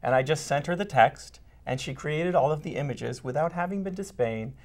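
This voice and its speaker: A man from Vermont, a children's book author, explaining things in a measured, storytelling way in an interview. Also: a little high, a little mellow. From Vermont, United States. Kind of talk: casual interview chat.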